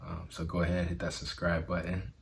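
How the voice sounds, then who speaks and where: A man's voice: low-pitched, monotone, a little fast, creaky, slight background noise. A man vlogging in an earnest, conversational way about self-acceptance, with a stutter. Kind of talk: motivational vlog talk.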